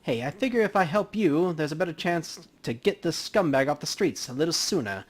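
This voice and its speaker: A man voicing a chatty, folksy male coroner from a video game in an animated, casual manner. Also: on the high side, brisk. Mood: chatty, upbeat. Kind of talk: acting out video game dialogue.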